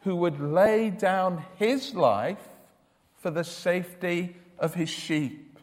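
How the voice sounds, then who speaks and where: A man preaching a sermon with a loud voice, emphatic and given to repeating his words as he starts a sentence. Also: high-pitched, slow, big-room sound.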